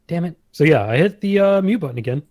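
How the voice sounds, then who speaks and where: A man's voice: fairly high pitch, lively intonation, quick pace, darkish tone, no echo, clean studio sound. A man co-hosting a casual podcast, laid-back, mostly giving short replies. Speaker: podcast co-host.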